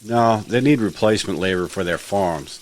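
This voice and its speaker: Man playing a deep-voiced, businesslike henchman. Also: normal speed, a little hiss or hum, lightly acted. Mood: matter-of-fact. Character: businesslike henchman dealing in captive labour. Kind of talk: audio drama acting.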